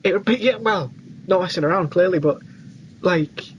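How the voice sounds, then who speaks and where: A man's voice: high-pitched, normal speed, muffled recording. A young British man co-hosting a film podcast, giving his opinions in casual chat. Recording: clearly noisy background ambience.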